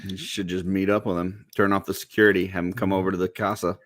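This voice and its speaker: A man's voice: deep voice, level tone, fast talker, a bit bright, no echo. A man with a soothing voice in a casual podcast chat, telling stories in a laid-back way with lots of "like". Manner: storytelling, laid-back, heavy use of "like".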